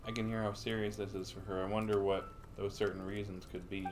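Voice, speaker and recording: A man's voice: fairly low pitch, level tone, fairly quick, a little hiss or hum. A man livestreaming a game, reading lines aloud and commenting as he muses to himself. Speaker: streamer. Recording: game music behind voice. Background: game music.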